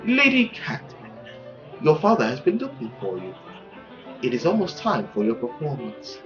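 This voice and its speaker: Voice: medium pitch, huge pitch swings. A performer doing a suave, courtly marquis, slightly muffled. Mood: gentle, charming. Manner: theatrical, flowery, unhurried speech. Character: suave, courtly French marquis, gracious and gently condescending. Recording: dull lo-fi audio with faint music.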